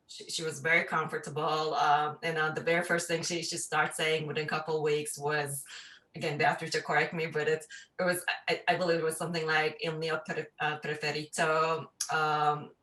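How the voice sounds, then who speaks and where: A woman's voice: low-pitched, flat delivery, normal speed, fairly bright tone. A middle-aged woman, a parent and researcher speaking thoughtfully on a video call, with many fillers and restarts. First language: Turkish. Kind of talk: casual panel remarks. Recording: echoey room, distant mic.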